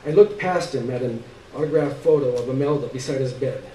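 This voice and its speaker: A man's voice: mid-range voice, fairly quick, warm tone. A man giving a dramatic literary reading of a short story on stage. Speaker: story reader. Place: live stage reading in a room. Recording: echoey room, distant mic, faint noise.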